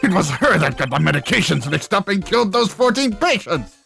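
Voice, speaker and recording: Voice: mid-range voice, very animated, brisk, vocal fry, fairly bright tone, soft background music, full character voice. An amateur dubber voicing an angry, pompous male surgeon who rants indignantly in a video game. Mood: outraged ranting. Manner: indignant ranting with exclamations. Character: angry, pompous, self-important male surgeon, easily outraged.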